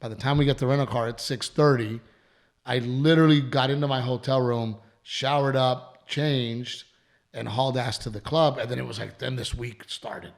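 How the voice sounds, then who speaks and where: Gravelly-voiced man with a worn voice. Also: mid-range voice, fairly even intonation, moderate pace. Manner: rambling, repeats himself, swears casually. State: voice worn from allergies and shows. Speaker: comedian and podcast host. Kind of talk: casual podcast chat.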